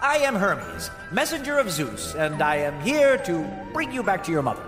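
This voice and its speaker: A deferential messenger of the gods, done by a male storyteller in a children's myth. His voice is high and lively, formal but a little flustered. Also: moderate pace, big-room sound, over music. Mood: courteous.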